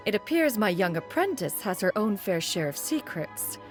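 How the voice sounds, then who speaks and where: A woman's voice: medium pitch, sing-song, a little slow, soft background music. A woman playing a dignified immortal posing as a refined collector, speaking in a formal, archaic, haughty manner.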